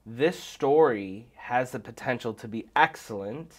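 A man in his thirties judging a kids' talent show in a small studio, speaking in a measured, thoughtful way. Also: medium pitch, animated. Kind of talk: casual commentary. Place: small studio with decorated wall.

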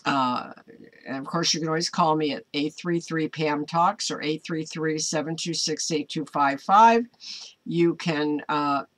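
An older American woman giving an informal devotional talk to camera in a halting, earnest manner, recorded in a small home office. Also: low voice. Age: older, 60s or 70s. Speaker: online ministry host.

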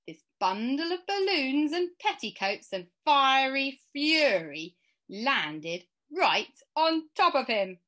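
Animated, bright-voiced woman reading a story. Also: high voice. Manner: expressive, emphatic. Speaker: storybook reader. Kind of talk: dramatic children's story reading. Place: small room indoors.

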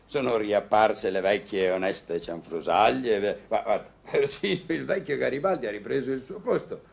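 A wry, gravelly, lively doctor. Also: male voice, on the low side, moderate pace. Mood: teasing. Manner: wry, florid, bantering. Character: jovial, teasing, philosophical middle-aged male country doctor. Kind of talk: vintage Italian radio drama acting.

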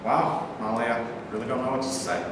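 A man's voice: medium pitch, a little fast, close to an everyday voice. A man in his twenties playing an earnest, hot-headed young soldier and baseball fan in a staged play reading. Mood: shy, tender. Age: 20s. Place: large bare indoor room. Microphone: far camera. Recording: echoey hall, distant camera microphone, faint noise.

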